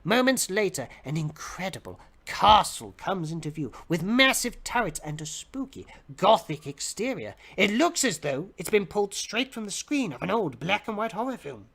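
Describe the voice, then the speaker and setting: A man giving a theatrical, expressive dramatic reading of fiction as the narrator. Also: high-pitched, moderate pace, vocal fry, few pauses. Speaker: dramatic reader.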